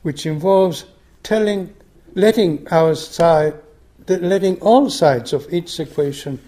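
Older male meditation teacher, unhurried and thoughtful, mellow tone. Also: on the high side. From Argentina. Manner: slow, reflective, frequent restarts. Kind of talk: dharma talk.

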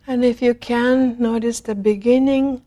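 A woman leading a guided meditation in a gentle, unhurried manner, leaving long pauses between phrases. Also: fairly high pitch, fairly even intonation. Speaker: meditation teacher.

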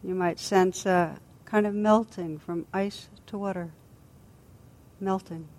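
A woman teacher leading a guided body scan meditation in a gentle, soothing, unhurried manner with long pauses. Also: fairly low pitch, fairly even intonation.

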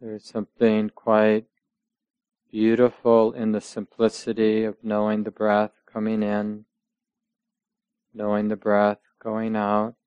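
A man leads a guided meditation at a very slow pace, in a smooth, clear voice that stays very even in pitch. He speaks gently, in short measured phrases separated by long pauses. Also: dry recording, highs cut off. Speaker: meditation teacher.

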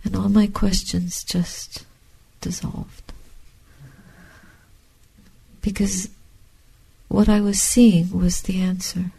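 An older woman, a Buddhist meditation teacher, speaking softly and breathily in a measured, contemplative way, with short phrases and long pauses. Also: medium pitch, level tone, vocal fry, slightly rough, low-quality recording. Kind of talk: reflective dharma talk and guided meditation.

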